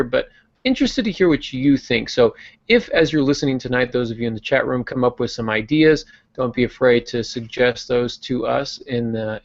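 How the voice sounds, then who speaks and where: A man with a gravelly, creaky voice hosts a podcast and runs through the week's announcements in relaxed conversation, often pausing on "uh". The sound is dry and a little dull, like a lo-fi video-call recording. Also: mid-range voice, dry recording. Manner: conversational, many filler uhs. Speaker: podcast host.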